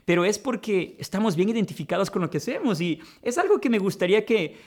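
A man's voice: high-pitched, expressive, fast talker. A man hosting a conversation, reflective and coaching in tone, often saying "mira" and calling his guest brother. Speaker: podcast host and life coach. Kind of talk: conversational interview.

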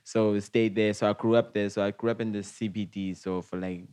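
Young South African man, relaxed slangy guest, lowish voice. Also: fairly quick, smooth. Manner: laid-back, slangy, rambling with fillers. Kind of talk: casual interview chat.

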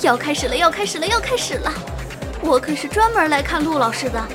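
A woman playing an excitable young female student fan, gushing and swooning in an audio drama. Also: sits high, a little fast. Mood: thrilled swooning. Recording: voice over loud music.

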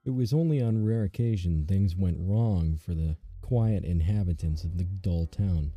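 Male narrator, ominous horror-comedy storytelling; low, husky, very dark tone, faint music behind.

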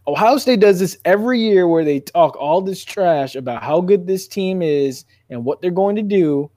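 A man hosting a sports podcast in casual, animated debate, making his points emphatically and repeating phrases for effect. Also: sits high, moderate pace, dry recording, clean studio sound.

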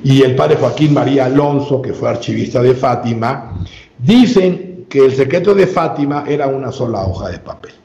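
A man speaking as an interview guest, giving a long measured explanation with frequent filler sounds and restarts. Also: medium pitch, expressive, creaky, mellow. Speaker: guest researcher. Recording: roomy, dull lo-fi sound.

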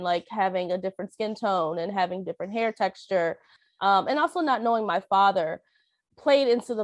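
A woman's voice: medium pitch, dry recording. A young woman sharing a personal story in a hesitant, reflective way, with many fillers and restarts. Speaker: interviewee.

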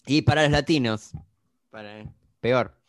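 A man's voice: mid-range voice, measured pace, no room sound, studio quality. Argentine man recounting scenes. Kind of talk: casual chat.

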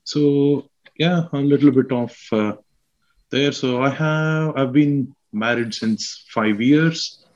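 A man from South India with a South Indian accent, a guest scientist explaining slowly with many fillers and restarts. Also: mid-range voice, darkish tone, highs cut off. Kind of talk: explaining science in a casual chat.

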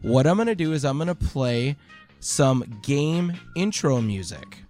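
A man hosting a podcast quiz, explaining casually and haltingly, correcting himself as he goes. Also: mid-range voice, sing-song, darkish tone, soft background music.

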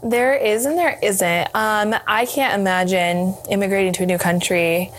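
A woman's voice: medium pitch, fairly even intonation, moderate pace. A woman who grew up in the United States, chatting casually about herself as an interview guest. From United States, immigrated as a small child. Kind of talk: casual chat. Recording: faint background noise and ambience.

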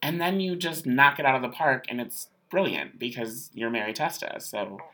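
A woman hosting a podcast interview, gushing with excitement like an eager fan. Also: rumbling low, normal speed, rough recording. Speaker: podcast host. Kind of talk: casual interview.

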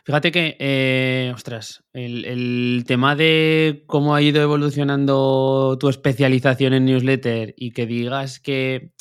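A man's voice: medium pitch, little pitch movement, unhurried, few pauses, clear, resonant tone, no echo, clean studio sound. A man co-hosting a casual podcast interview, friendly and loose, with lots of fillers and restarts. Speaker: podcast co-host.

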